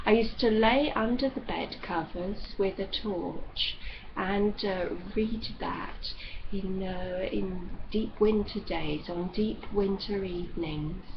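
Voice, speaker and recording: A woman reading a novel aloud as expressive literary narration. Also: medium pitch, slow pace, creaky, lo-fi sound, background noise. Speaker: audiobook reader.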